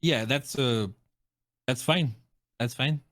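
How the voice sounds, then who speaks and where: A man's voice: mid-range voice, slow, a bit bright, no room sound. Man joking casually, dry close sound. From Russia or Russian-speaking country. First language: Russian. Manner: slangy, joking. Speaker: content creator reviewing game voice lines. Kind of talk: casual joking reaction chat.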